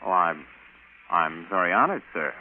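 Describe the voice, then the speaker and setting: Animated, exasperated rocket pilot. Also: male voice, deep voice, normal speed. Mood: deferential, surprised. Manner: animated, conversational, wry. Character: brash, exasperated bachelor rocket pilot and scientist. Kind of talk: 1950s radio acting. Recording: tinny, noisy vintage radio broadcast.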